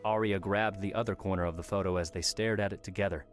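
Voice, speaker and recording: A man with a very low-pitched voice narrates an audiobook. He reads scripted story prose, and quiet music plays underneath. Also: fairly even intonation, fast talker.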